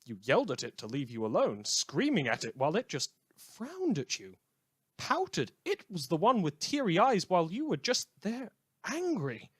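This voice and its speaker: A man's voice: high voice, wildly swooping pitch, moderate pace, breathy edge, no room sound, heavily acted. A man playing a wry, opinionated community radio host in an audio drama, reading scripted news with a theatrical, dryly ironic delivery. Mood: theatrical, ominous.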